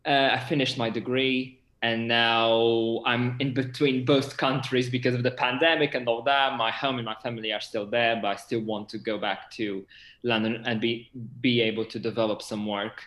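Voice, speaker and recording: An echoey video call carries the voice of a young man with a Portuguese accent. His pitch sits in the middle range for a man, and he talks in an enthusiastic, casual interview style. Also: level tone, moderate pace, fairly bright tone. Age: 20s. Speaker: theatre director and actor. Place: indoor room, on a video call. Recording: roomy, echoing video call audio.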